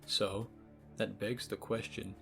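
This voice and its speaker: A man's voice: lowish voice, level tone, slow, gravelly, a bit bright, faint music behind. A man giving casual, opinionated video essay commentary as a game reviewer, speaking informally with sarcasm and profanity. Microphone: cheap microphone.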